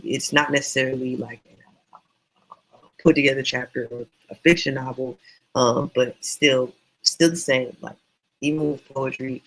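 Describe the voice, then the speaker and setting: A man teaching writing in a casual, meandering, encouraging way, his voice going hoarse. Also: mid-range voice, fairly bright tone, rough recording. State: losing voice, hoarse. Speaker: self-published author teaching writing.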